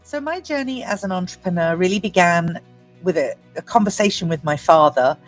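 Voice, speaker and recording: A woman in her fifties, from the UK with an English accent, telling her story as an entrepreneur in a measured, articulate way. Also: fairly low pitch, expressive, soft background music, poor audio. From United Kingdom. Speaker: guest entrepreneur. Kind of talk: prepared personal story.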